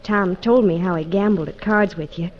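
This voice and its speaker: An actress playing a sweet, innocent young country bride-to-be in an old radio western. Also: female voice, on the low side, moderate pace, natural voice. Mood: delighted. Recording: muffled old radio recording, faint hiss.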